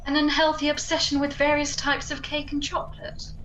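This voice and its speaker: A woman playing a sharp-tongued, exasperated adventurer in a comic radio drama. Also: sits high, monotone, a little fast, a little hiss or hum, low-quality recording.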